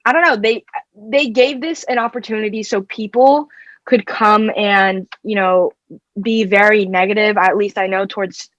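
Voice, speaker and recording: A teenage girl speaks hesitantly and haltingly as a guest on a video call. Her audio is muffled and lo-fi, and it sounds dry with no room echo. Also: mid-range voice, fairly even intonation, a bit bright. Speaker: guest, fan page owner.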